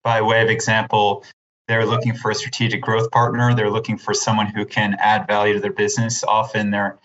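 A man's voice: fairly low pitch, a bit bright, dull audio. A man speaking as an interview guest, explaining business points. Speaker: podcast guest, investment firm co-founder.

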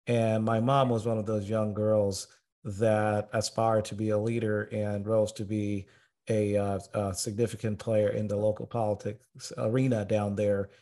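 A man's voice: lowish voice, little pitch movement, measured pace, warm tone. A middle-aged man from Tanzania hosting a podcast interview, talking earnestly with frequent filler sounds. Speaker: podcast host.

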